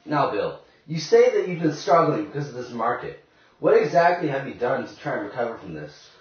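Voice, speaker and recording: Man as brisk field reporter, very lively intonation, echoey. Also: mid-range voice, natural voice. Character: brisk 1930s male field news reporter. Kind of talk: scripted live-report acting. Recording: echoey, distant, dull, noisy with clicks.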